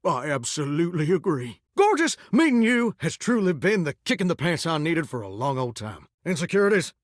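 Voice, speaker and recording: A man's voice: fairly high pitch, sing-song, fairly quick, no room sound, studio quality. An actor voicing an eager male talking showerhead who dreams of pop stardom, with a folksy drawl and a theatrical, gushing manner. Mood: upbeat. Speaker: dating game character. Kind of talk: game voice acting.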